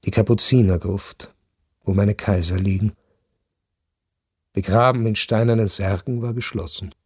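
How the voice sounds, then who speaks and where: An actor playing a melancholy aristocrat who narrates a literary radio drama in a brooding, deliberate way. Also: male voice, lowish voice, fairly even intonation, slightly rough, no room sound, clean studio sound. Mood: desolate. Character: melancholy Viennese aristocrat recounting his lonely last night. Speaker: first-person narrator. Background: string music.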